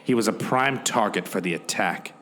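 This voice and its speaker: A man's voice: fairly low pitch, moderate pace, a bit bright, natural voice. A man playing a melodramatic, self-important action hero who narrates in mock-epic style in a comedic audio drama. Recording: music bed underneath.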